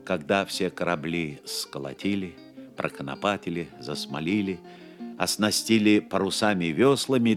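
A man narrating a legend as a dramatic scripted story for an audio play. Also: low-pitched.

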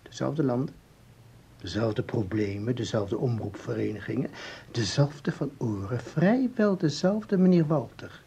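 An actor playing a calm researcher in a Dutch radio play, explaining formally and deliberately with small hesitations. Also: male voice, mid-range voice, creaky, slight background noise. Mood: lecturing. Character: calm, explaining male researcher of time anomalies.

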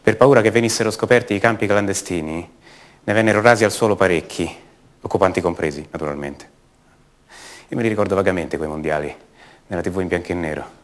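Man acting a brooding orphaned son, very low male voice, halting, intimate, radio drama monologue. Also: lightly acted. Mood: bitter, ironic. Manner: halting, repeats words, intimate. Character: haunted adult son of a disappeared woman, brooding, searching. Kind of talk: radio theatre monologue in Italian.

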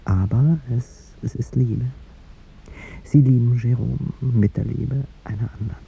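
A very dark, creaky voice of an aging statesman, wistful, courteous and hesitant in love. It comes from a muffled 1950s radio drama recording with faint noise. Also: mid-range voice, fairly even intonation, a little slow. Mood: wistful, pained. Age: older. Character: aging president, gentle, wistful older gentleman in love.

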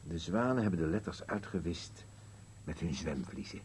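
An elderly husband in a radio drama, gloomy and contrary. His voice sits very low, and he answers in terse, musing corrections. The old recording has noise and hum. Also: lively intonation, normal speed, darkish tone. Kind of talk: Dutch-language radio play acting.

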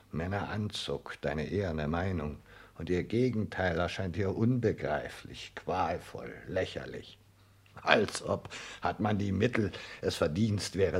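Scheming suitor, self-important and brooding, low slow voice, deliberate radio drama monologue. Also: male voice, vocal fry, breathy edge, heavily acted. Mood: scornful, envious. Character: self-important male social climber, calculating and romantically scheming. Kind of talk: 1960s German radio play monologue.

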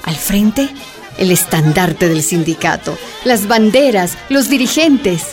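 A woman's voice: mid-range voice, takes it slowly, a faint crowd. Woman narrator over music. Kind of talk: scripted radio drama narration.

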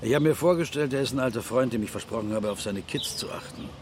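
A man's voice: mid-range voice, fast talker, noisy background, close to an everyday voice. A male actor playing a seasoned criminal mentor in a radio drama, instructing and asking short probing questions, reassuring but cautionary. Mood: probing, measured.

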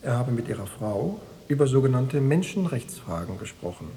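Mellow, quietly menacing secret policeman. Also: mid-range voice, big pitch swings, moderate pace, big-room sound. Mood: insinuating, veiled threat. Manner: falsely reassuring, bureaucratic, quietly menacing. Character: smooth, insinuating male East German secret police officer. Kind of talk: radio play acting.

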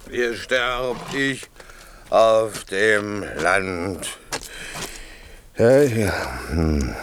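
A man playing a drunken, blustering shipwrecked servant in a classic radio play, boozy, drawling and bossy. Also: fairly low pitch.

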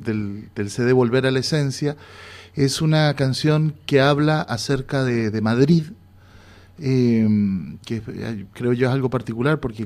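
Soft-spoken guest musician man. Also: mid-range voice. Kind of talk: radio interview.

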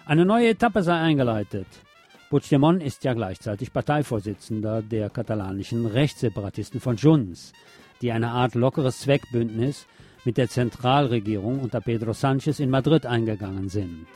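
A man delivers a scripted news magazine report in a mellow, relaxed voice at a medium pitch. His reading has a wry, colloquial edge, with faint music underneath. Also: normal speed. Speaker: radio news presenter.

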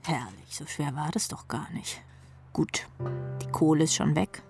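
An actress playing a wry, cool female rock drummer who narrates her inner monologue in a deadpan, breathy half-whisper for a radio play. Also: low-pitched, measured pace, faint music behind. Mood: dry, resigned. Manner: deadpan, sardonic.

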